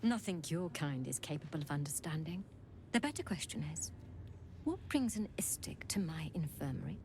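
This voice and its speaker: Haughty, low-voiced alien healer. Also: female voice, expressive, normal speed, darkish tone, a little hiss or hum. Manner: clinical, imperious, condescending. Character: haughty, clinical female alien infirmary healer, coldly curious. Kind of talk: fantasy video game acting. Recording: music behind the voice.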